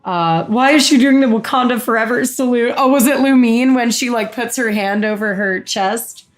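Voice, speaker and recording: A young woman co-hosting a live game reaction stream, chatty and excitable, breaking off to answer the chat. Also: on the high side, moderate pace, faint music behind. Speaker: co-host, musician.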